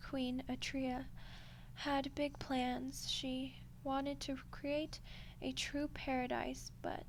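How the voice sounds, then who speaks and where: A woman playing a smug, condescending female archdemon in a soft-spoken ASMR roleplay, unhurried and menacingly polite. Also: high-pitched, slight background noise, rough recording. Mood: condescending, scornful. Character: ancient female archdemon, smug, coolly seductive deal-maker.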